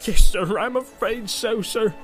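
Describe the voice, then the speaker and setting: A fussy innkeeper, anxious and apologetic, with his voice pushed high, as a male narrator puts him on. He fumbles to explain himself. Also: measured pace, soft background music. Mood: flustered. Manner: flustered, apologetic.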